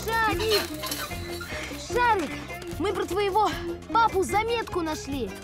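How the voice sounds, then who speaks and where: A woman's voice: extremely high pitch, lively intonation, slow, loud music behind the voice, full character voice. A woman voicing an earnest, caring child in a cartoon, with an eager, helpful manner. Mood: excited. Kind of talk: animated series character acting.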